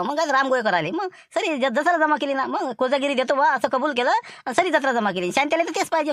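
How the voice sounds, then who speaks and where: Indignant, fast-talking Varhadi village elder acted by a man. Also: very high-pitched, fairly bright tone, no echo, clean studio sound. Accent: Varhadi Marathi. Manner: complaining, colloquial, repetitive. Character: indignant village elder protesting unfair dealings. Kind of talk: comic cartoon village dialogue.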